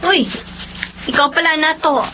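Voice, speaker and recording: A woman's voice: high voice, steady tone, normal speed, close to an everyday voice. A woman with a Filipino accent playing a playful, sassy young cousin in a radio drama. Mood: friendly. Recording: muffled lo-fi radio audio, clear noise.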